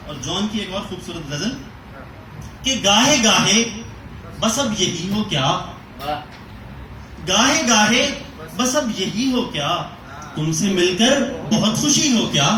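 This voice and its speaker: A man giving a dramatic Urdu poetry recitation in a theatrical, deliberate way, pausing and repeating lines. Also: sits high. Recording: echoing hall, distant mic, audience noise.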